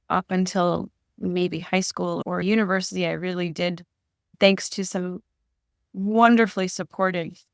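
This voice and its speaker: A middle-aged woman speaking as a podcast guest, candid and emotional, pausing and correcting herself as she thinks aloud. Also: on the low side, gravelly, clear, resonant tone, no echo, clean studio sound. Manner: thoughtful, candid and emotional, with pauses and self-corrections. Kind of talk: reflective interview conversation.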